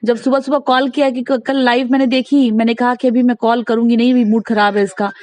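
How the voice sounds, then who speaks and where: A woman's voice: a little high, little pitch movement, brisk, a little mellow, dry recording. A woman talking casually in a recorded phone conversation, giving advice insistently and repeating herself for emphasis.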